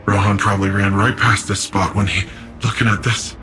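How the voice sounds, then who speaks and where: An actor playing a grieving guardian of a city in a video game, reflective and halting. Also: male voice, fairly low pitch, flat delivery, sharp tone, soft background music. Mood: wistful, resolute. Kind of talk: dramatic video game dialogue.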